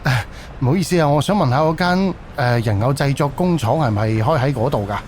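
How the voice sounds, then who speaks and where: A man's voice: medium pitch, brisk, a little mellow, a little hiss or hum, natural voice. A man playing a polite, earnest young haunted-house owner in a Cantonese audio drama, speaking conversationally.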